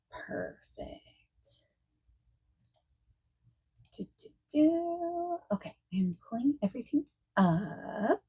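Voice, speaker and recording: A woman playing a friendly, eager party planner in a soft-spoken ASMR roleplay, breathy and often near a whisper, chatty and reassuring. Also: fairly high pitch, pitched above the performer's own voice, heavily acted, poor audio. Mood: helpful, busy.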